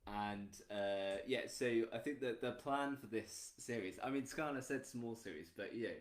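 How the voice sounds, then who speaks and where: A man's voice: lowish voice, moderate pace. A man with an English accent chatting casually as a co-host, with a dry, jokey, self-deprecating manner. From England. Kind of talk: casual chat, informal demonstration. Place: room in a house. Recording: echoey room.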